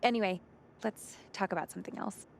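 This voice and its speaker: A woman acts a smart young small-town woman in a video game. She talks casually and a little wryly at a middling pitch, with faint ambience behind her. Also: a little fast, gravelly, slight background noise, close to an everyday voice. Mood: modest. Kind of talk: naturalistic video game acting.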